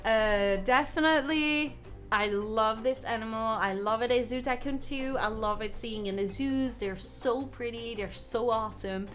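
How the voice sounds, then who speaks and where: A young woman from the Netherlands with a Dutch accent, chatting excitedly and giggling on a gaming live stream as she reads out viewers' comments. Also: on the high side, measured pace, soft background music. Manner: excitable, giggly, reading chat aloud. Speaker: gaming livestreamer. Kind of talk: casual live stream chat.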